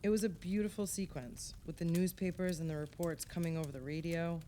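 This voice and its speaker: An actress playing a frank, expressive friend in a group conversation in a naturalistic audio play. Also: female voice, lowish voice, fairly quick, slight background noise, natural voice. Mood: moved.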